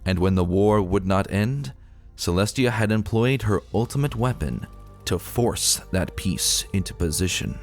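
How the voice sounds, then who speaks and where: A man giving a dramatic, solemn and measured reading of a dark fantasy story. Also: fairly low pitch, expressive. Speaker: narrator reading fan fiction. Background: music.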